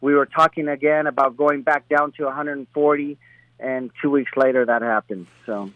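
A man's voice: mid-range voice, fairly even intonation, normal speed, dry recording, narrow, tinny audio. Rambling Colombian-born man, dry sound. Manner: rambling, many restarts and fillers. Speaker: boxing trainer, guest. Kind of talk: casual interview talk.

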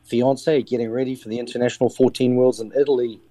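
A man's voice: medium pitch, flat delivery, fast talker, dark tone, no room sound, soft background music. A middle-aged man from New Zealand with a New Zealand accent, a sailing skipper answering interview questions with many ums and restarts. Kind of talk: interview over the air.

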